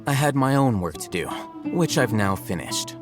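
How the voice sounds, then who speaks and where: A man voicing a calm, aloof young male scholar in a video game, measured and dry. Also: mid-range voice, lightly acted. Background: music.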